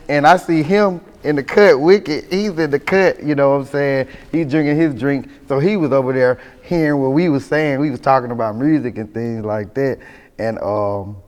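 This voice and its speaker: A man with a Southern US accent in a casual interview, telling stories in animated, slangy talk full of verbal tags. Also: on the high side, normal speed, in a large hall. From born in Mississippi, raised in Atlanta. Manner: animated, slangy, repeats "you know what I'm saying". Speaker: rap group member being interviewed. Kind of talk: casual storytelling chat.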